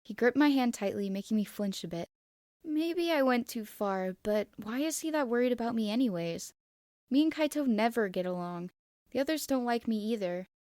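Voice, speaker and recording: A woman reading fan fiction aloud as expressive first-person narration. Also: on the high side, moderate pace, no echo, studio quality.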